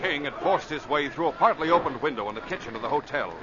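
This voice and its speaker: A man's voice: on the high side, lively intonation, fast, lightly acted. A man narrating an adventure serial in a dramatic, scripted old-time radio style. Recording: noisy, muffled old radio recording.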